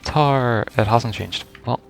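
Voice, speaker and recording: A man's voice: mid-range voice, slow pace, creaky, soft background music. A man giving casual first-reaction commentary on a video game, rambling and thinking aloud as he goes. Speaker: gaming video host.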